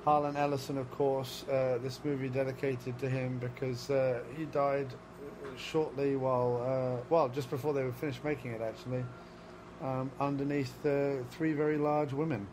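A man's voice: medium pitch, steady tone, moderate pace, noisy background. A man co-hosting a podcast, riffing crudely on a movie with lots of fillers. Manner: rambling, joking, many fillers. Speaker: podcast co-host. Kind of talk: crude comedic movie commentary.

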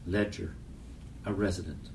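A man reads poetry in a low, dark voice. He sounds set back from the microphone, with faint noise behind him. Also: fairly even intonation, a little slow, creaky. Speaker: poetry reader. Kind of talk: literary poem reading. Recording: distant mic, faint background hiss.

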